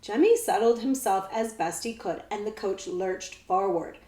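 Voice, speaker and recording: A woman librarian reading a children's story aloud, pausing for casual explanations. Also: medium pitch, warm tone. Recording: echoey room sound.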